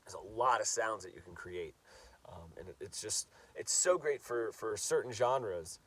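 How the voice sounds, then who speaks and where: A man's voice: lowish voice, normal speed, fairly bright tone, slightly rough, far from the mic. A man with a stuffy nose from a cold, teaching a casual guitar lesson, talking loosely and repeating words as he demonstrates. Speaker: guitar coach.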